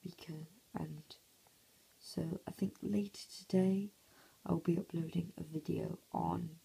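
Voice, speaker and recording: A hoarse young man talks slowly in a hushed half-whisper, his voice heavily gravelly and raspy. He sounds dark and low-key, recorded on a phone at home while others sleep. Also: fairly even intonation, low-quality recording. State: hoarse, croaky voice. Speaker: gameplay commentator. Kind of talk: casual mobile gameplay commentary. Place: home, early morning.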